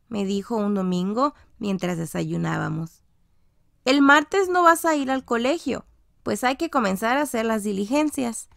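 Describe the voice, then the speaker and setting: Female children's audiobook narrator: fairly high, creaky-edged voice, expressive phrasing, very dry recording. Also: dry recording. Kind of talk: scripted children's story narration, first person as a child.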